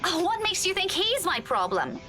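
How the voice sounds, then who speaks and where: A woman's voice: very high voice, very animated, normal speed. An actress playing a sassy, outspoken young woman in a video game, blunt and sarcastic. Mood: exasperated. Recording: background music.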